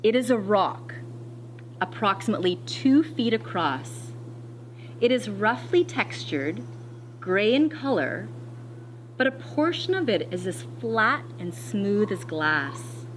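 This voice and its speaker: A woman teacher reading a picture book aloud to children in an expressive, deliberate way, pausing to explain as she goes. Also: medium pitch, a little hiss or hum, low-quality recording.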